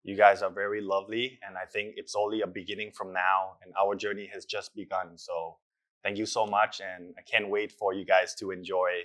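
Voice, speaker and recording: A man's voice: sits low, flat delivery, fairly quick, fairly bright tone. A young man, an actor, giving earnest and reflective interview answers with hesitant fillers.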